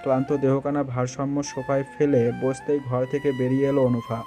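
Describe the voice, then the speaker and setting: A man's voice: medium pitch, little pitch movement, fairly quick, muffled recording, music in the background. A man reading scripted narration for a romantic audio story.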